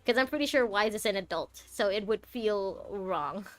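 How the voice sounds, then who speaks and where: A woman's voice: mid-range voice, lively intonation, normal speed, soft background music. A young woman from Malaysia chatting casually as she plays through a game, rambling and thinking aloud. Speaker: game streamer. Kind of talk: casual commentary while playing a game.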